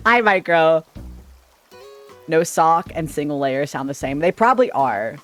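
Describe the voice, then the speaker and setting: A man chatting casually on a livestream with a slightly shrill, sharp-sounding voice, rambling playfully and tripping over his words. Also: big pitch swings, measured pace, faint music behind. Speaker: streamer. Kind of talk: casual livestream chat with viewers. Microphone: desk microphone.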